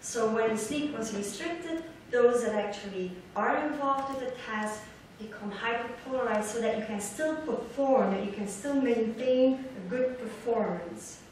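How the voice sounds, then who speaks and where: Echoey, distant woman lecturing haltingly. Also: fairly high pitch, darkish tone. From Belgium. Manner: halting, searching for words, repeats words. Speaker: academic researcher giving a keynote lecture. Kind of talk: conference lecture, explanatory. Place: large lecture hall, indoors, at a lectern. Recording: echoey hall from far off, background noise.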